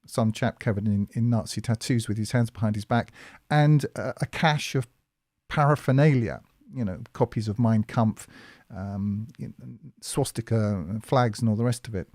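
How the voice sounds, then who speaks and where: A man hosting a commentary monologue, speaking in a measured, hesitant way with many fillers and restarts. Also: fairly low pitch, darkish tone, no room sound, studio quality. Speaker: commentary channel host.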